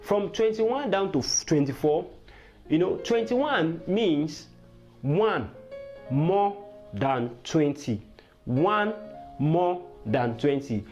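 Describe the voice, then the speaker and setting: A man's voice: on the high side, expressive, slow pace, a little mellow. A man teaching a language lesson, patiently repeating words and checking whether viewers follow. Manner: patient, repetitive teaching with quiz questions. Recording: dull lo-fi sound with faint guitar music.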